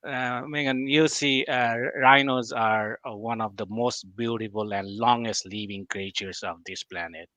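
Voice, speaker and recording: A man in his forties from Nepal, a guest expert who speaks in a measured, hesitant way with frequent pauses and fillers. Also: lowish voice, fairly bright tone, dry recording. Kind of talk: interview answers.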